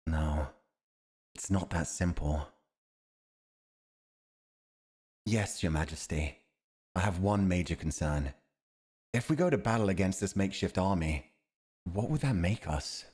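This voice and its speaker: A man's voice: low voice, expressive, moderate pace, darkish tone, slightly rough. A man playing a loyal, principled royal commander in a fantasy audio roleplay, speaking in a grave, formal and dutiful manner. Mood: concerned, firm.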